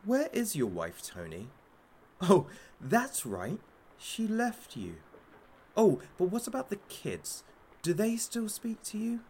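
A man's voice: high-pitched, huge pitch swings, takes it slowly, a little mellow, a little hiss or hum, full character voice. An actor playing a masked kidnapper who hosts a sadistic hostage talk show in an audio drama, as a mocking, theatrical showman. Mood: cruel, taunting.

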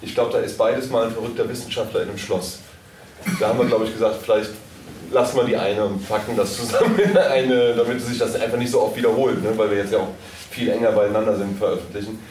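A man's voice: fairly low pitch, fairly even intonation, fast, a little mellow. A man answering questions informally as an audio drama producer at a live event. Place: indoor convention hall, live event. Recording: echoey, noisy room, far from mic.

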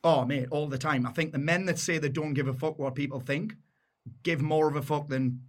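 A man's voice: steady tone, fairly quick. Blunt, matey Geordie man. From North East England. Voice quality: deep, loud voice. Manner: blunt and matey, swears freely. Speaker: podcast guest. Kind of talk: casual chat.

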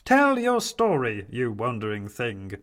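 A man voicing a big toy henchman who speaks solemnly in slow rhyming chant. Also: mid-range voice, wildly swooping pitch, a bit bright.

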